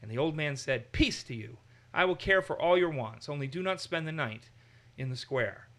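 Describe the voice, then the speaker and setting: Bright-voiced man teaching scripture. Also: on the high side, moderate pace. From Massachusetts. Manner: animated, acts out quotes in raised voices. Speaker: Bible teacher. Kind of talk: conversational Bible study.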